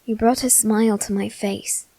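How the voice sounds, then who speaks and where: A woman playing a proud, wounded aristocratic boy in an ASMR roleplay, speaking in a halting, theatrical way with formal phrasing. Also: mid-range voice, fairly even intonation, mellow, husky, no echo. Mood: wistful, tender. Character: proud, wounded, self-loathing aristocratic boy facing his demon. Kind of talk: ASMR character roleplay, dramatic monologue.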